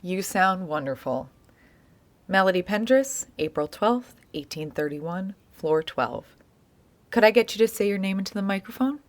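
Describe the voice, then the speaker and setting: A woman with an American accent playing a patient, measured interviewer who gently probes, in a naturalistic audio drama. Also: medium pitch, natural voice. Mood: composed. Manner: measured, calm, probing. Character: patient, probing woman interviewer recording an oral history.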